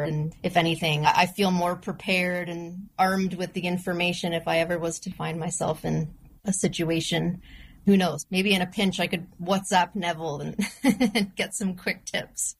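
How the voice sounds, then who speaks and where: Chatty woman reporter, slightly low voice. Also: steady tone, normal speed, a little hiss or hum, rough recording. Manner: chatty, laughing easily. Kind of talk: casual chat.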